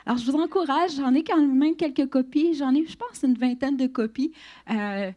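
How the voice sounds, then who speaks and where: Québécois woman in her fifties, guest church speaker, higher voice, chatty with restarts. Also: moderate pace. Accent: Québécois French. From Quebec. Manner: warm, chatty, laughs often, many restarts and fillers. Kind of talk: church introduction and testimony.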